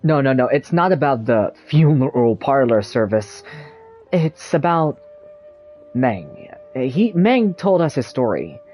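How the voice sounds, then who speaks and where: A woman making casual, halting remarks over a video game, repeating her words. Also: rumbling low, very animated, warm tone, faint music behind.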